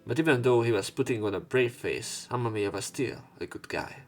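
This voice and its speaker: A man's voice: medium pitch, expressive, fairly quick, faint music behind. A man reading a visual novel aloud as a let's play host, adding informal, sweary commentary.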